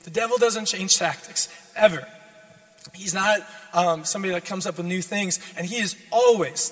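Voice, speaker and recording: A hoarse young man preaching a sermon as a guest speaker, repeating his key lines and building in intensity. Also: high-pitched, a bit bright. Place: church sanctuary. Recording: long church reverb.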